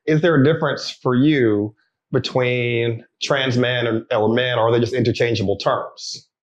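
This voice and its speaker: A man's voice: mid-range voice, fairly even intonation, normal speed, muffled recording. Earnest male host in a roomy space. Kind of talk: scripted intro, then moderating a panel. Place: panel discussion setting. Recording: roomy echo.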